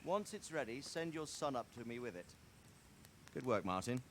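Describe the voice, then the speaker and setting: A man acting a courteous nobleman in a dramatic video game. His mid-pitched voice rises and falls freely with formal authority. Noise is clearly audible behind him. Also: lively intonation, close to an everyday voice. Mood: pleased, formal. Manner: formal, deliberate. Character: courteous, commanding noble lord, gracious and self-assured.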